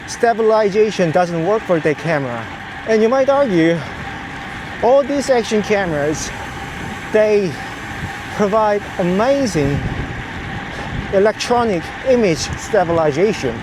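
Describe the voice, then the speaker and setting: High-voiced man vlogging over road noise. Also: expressive, a little slow, pauses often. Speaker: vlogger, cyclist testing camera gear. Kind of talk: explanatory vlog talk while riding. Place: outdoors on the road while cycling. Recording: clear outdoor road and traffic noise. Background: ambience and vehicle noise.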